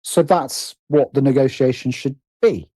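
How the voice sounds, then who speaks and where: Middle-aged man, British accent, mellow husky voice, reflective interview talk, hesitant phrasing, dry close sound. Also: mid-range voice, unhurried, no echo, low-quality recording. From Britain. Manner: hesitant, self-interrupting, often rephrasing. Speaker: guest, writer and producer.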